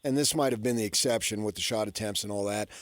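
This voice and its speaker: A man's voice: fairly low pitch, fast talker, dry recording. A man hosting sports radio, talking informally and arguing his points in short conversational phrases.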